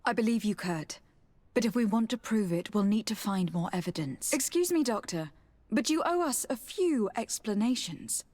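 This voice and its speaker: A woman acts out a highborn envoy in a fantasy video game. She has a mid-pitched voice and speaks with measured, formal courtesy and firm authority. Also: animated, darkish tone, lightly acted. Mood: sober, courteous. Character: poised, authoritative young noblewoman legate, courteous but insistent. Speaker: noble diplomat. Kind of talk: dramatic video game acting.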